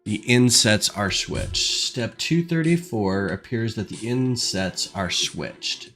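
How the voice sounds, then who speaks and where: A man's voice: lowish voice, big pitch swings, slow, fairly bright tone, faint music behind. A man with a stuffed-up nose chatting casually on a livestream at his home desk, thinking aloud with lots of ums. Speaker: LEGO designer streaming. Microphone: desk microphone.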